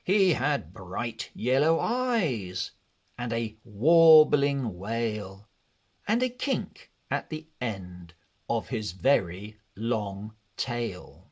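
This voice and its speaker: A man narrating a children's read-along storybook in an expressive, deliberate way. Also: mid-range voice, husky. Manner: expressive, deliberate, measured delivery.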